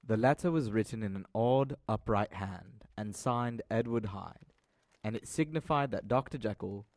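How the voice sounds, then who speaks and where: Low-voiced male audio drama narrator. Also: normal speed, darkish tone, no echo. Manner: formal, literary delivery.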